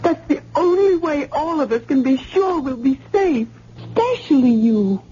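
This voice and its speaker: A woman's voice: sits high, animated, a little slow, dark tone. An actress playing a frightened wife who pleads with her wounded husband, in an old radio drama. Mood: pleading. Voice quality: pleading and emotional. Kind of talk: vintage radio drama acting. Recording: dull lo-fi old radio recording with faint hiss.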